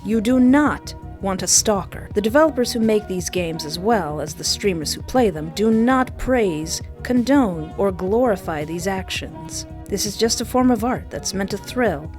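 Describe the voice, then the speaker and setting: A woman's voice: mid-range voice, animated, measured pace, hardly any pauses, darkish tone. A woman video host giving scripted commentary with comic asides, leaning on single words with dramatic emphasis. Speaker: video host, visual novel streamer. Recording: background music under voice. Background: music.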